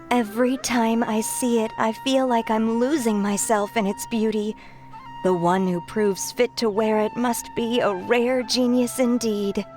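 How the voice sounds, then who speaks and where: A woman's voice: fairly high pitch, steady tone, a little slow, a little mellow, faint music behind, close to an everyday voice. A woman voicing an earnest, gossipy female scholar in a video game, speaking articulately. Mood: awed. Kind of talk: video game character acting.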